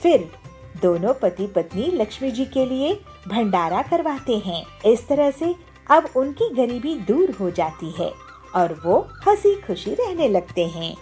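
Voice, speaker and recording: A woman's voice: a little high, big pitch swings, measured pace, music in the background. A woman reading scripted children's story narration.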